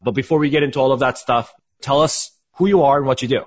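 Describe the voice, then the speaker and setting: A man's voice: medium pitch, fairly even intonation, quick pace, husky. A man hosting a business podcast, reading a scripted intro and then asking interview questions in an upbeat way. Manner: upbeat, repeats "awesome". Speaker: podcast host. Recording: dull lo-fi audio.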